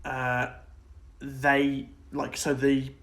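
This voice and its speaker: A man's voice: mid-range voice, completely flat delivery, extremely slow, a bit bright. A man co-hosting a casual film and TV discussion podcast, speaking in an agreeable, informal way. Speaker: podcast co-host.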